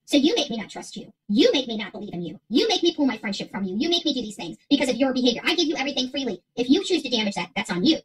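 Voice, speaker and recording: A woman's voice: high-pitched, big pitch swings, racing, hardly any pauses, breathy edge, rough recording. A middle-aged woman chatting casually to livestream viewers as host, emphatic, repeating herself and rambling. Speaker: livestream creator and channel host. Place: small furnished room at home, indoors.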